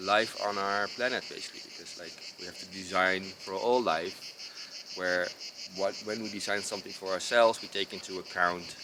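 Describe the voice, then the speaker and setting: A man's voice: low-pitched, fairly even intonation, moderate pace, noisy background. A man from the Netherlands with a Dutch accent, explaining his design approach in a casual, hesitant monologue full of fillers and restarts. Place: outdoors in rural mountains.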